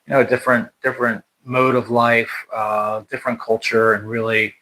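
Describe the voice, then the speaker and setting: A man's voice: medium pitch, monotone, normal speed, pushed and bright, low-quality recording. An American man hosting a casual livestream chat, talking in a rambling way with many restarts and fillers. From United States. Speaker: channel host. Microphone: livestream mic.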